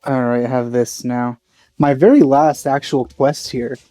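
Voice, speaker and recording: A man with a mellow, relaxed tone comments casually on a game he is playing. He muses in halting phrases, recorded dry, with faint music behind him. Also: mid-range voice, dry recording. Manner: musing, hesitant, halting phrasing. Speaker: let's play host.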